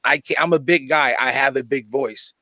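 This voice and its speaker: A man in a casual group chat, talking in a low-key, sarcastic, joking way. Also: moderate pace, bright, forceful tone, no echo. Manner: sarcastic, laid-back, jokey. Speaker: guest podcast host.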